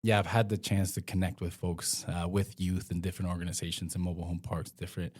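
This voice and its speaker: A man's voice: low voice, level tone, fast, darkish tone, husky. A young man from Mexico, a former pro soccer player, talking in a casual interview with many fillers and restarts.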